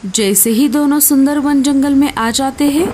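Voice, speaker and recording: A woman narrates a children's animated story in a fairly high voice. Her delivery is scripted storytelling, moving plainly from scene to scene. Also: moderate pace, slight background noise.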